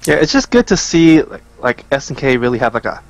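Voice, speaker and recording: Male guest, mid-range voice, conversational and measured, pausing as he explains his thoughts. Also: mid-range voice, big pitch swings, no room sound, poor audio. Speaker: podcast guest. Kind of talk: casual chat.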